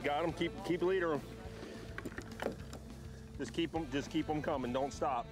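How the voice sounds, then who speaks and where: A man co-hosting a fishing show, bantering excitedly on a boat at sea and shouting when fish bite. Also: fairly high pitch, unhurried. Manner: whooping, laughing, shouting "fish on". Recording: boat audio under loud music.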